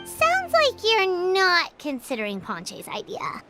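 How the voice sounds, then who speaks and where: Small childlike game companion, squeaky, chirpy, very high-pitched, bright. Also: female voice, wildly swooping pitch, takes it slowly, soft background music, full character voice. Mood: curious. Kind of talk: video game voice acting.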